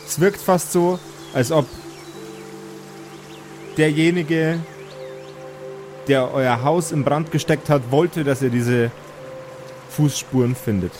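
A man narrating an improvised tabletop fantasy game as game master, measured and suspenseful. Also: a little high, darkish tone, soft background music.

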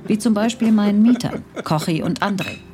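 An actress playing a murdered woman in her sixties who tells her own life story in a measured, wistful way. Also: mid-range voice, dark tone, natural voice. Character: 61-year-old murdered woman narrating her life from beyond death. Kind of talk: scripted dramatized first-person narration. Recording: clear music bed behind the voice.

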